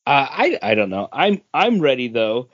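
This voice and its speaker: A man with a mid-pitched voice chats casually as a podcast co-host. He rambles a little, repeating words as he works out his opinions. The sound is close and dry, slightly dull on top. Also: very animated, normal speed, a bit bright, no room sound. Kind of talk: casual opinion chat about movie rankings.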